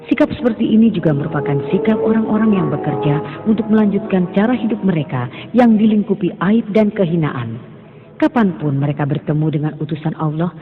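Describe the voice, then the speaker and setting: Male narrator, high-ish voice, solemn preachy read over music, tinny track. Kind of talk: scripted religious story narration. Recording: thin phone-like sound over music.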